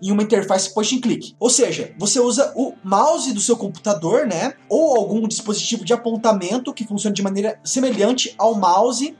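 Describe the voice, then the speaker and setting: A man's voice: high-pitched, normal speed, hardly any pauses, a little mellow, faint music behind. A man with a Brazilian accent explaining things casually as a podcast co-host.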